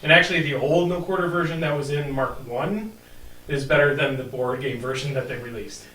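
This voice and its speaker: A man's voice: medium pitch, brisk, bright, forceful tone, a little hiss or hum. A man chatting casually from off to the side of a podcast, in a small room. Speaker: off-camera participant. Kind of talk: casual chat. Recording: echoey, off to the side.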